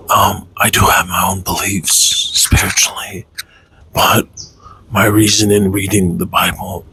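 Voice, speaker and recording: A Tongan American man from the United States speaking in a personal, confessional vlog, halting and reflective, with a husky, breathy voice. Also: low-pitched, sharp tone, a little hiss or hum, low-quality recording. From United States, raised Tongan American. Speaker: content creator, father.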